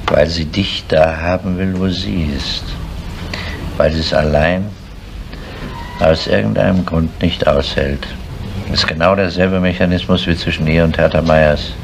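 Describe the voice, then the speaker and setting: An actor playing a gruff, matter-of-fact police inspector in a crime radio drama, speaking in curt, clipped phrases. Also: male voice, deep voice, fairly even intonation, measured pace. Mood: dismissive, firm. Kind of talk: naturalistic German radio crime drama acting. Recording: noisy 1970s radio play tape.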